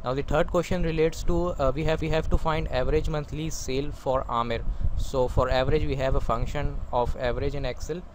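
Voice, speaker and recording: A man you'd hear on a homemade online software lesson, feeling his way through each step haltingly while noisy ambience runs behind him. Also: on the high side, steady tone. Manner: halting, with very frequent hesitations. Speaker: instructor. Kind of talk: spoken software tutorial over screen recording.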